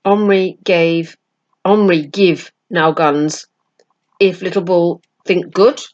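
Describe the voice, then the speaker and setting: Woman voicing a slow, proud warrior chief. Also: fairly low pitch, steady tone, a little mellow, muffled recording. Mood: grave, terse. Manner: clipped broken English, slow and emphatic.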